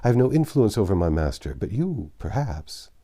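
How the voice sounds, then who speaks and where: A man's voice: fairly low pitch, big pitch swings, normal speed, warm tone. A man voicing a distraught, remorseful manservant in a storybook, pleading emotionally. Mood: helpless, pleading. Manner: emotional, exclamatory.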